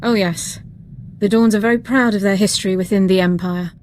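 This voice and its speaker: A woman's voice: mid-range voice, fairly even intonation, brisk, a little mellow, lightly acted. Formal, composed female military officer played by an actress, background noise. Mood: composed, proud. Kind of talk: video game dialogue acting.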